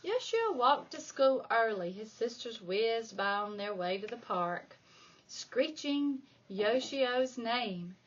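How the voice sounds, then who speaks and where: A woman reading a children's storybook aloud like a primary school reader, with dramatic emphasis and drawn-out key words. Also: a little high, lively intonation, muffled recording. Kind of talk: expressive children's storybook narration.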